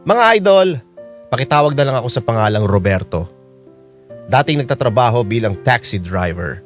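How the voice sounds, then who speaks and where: A man's voice: medium pitch, lively intonation, moderate pace, soft background music, natural voice. An actor playing a roguish retired taxi driver in his sixties, narrating his own story in a radio drama. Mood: wistful, playful. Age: 60s.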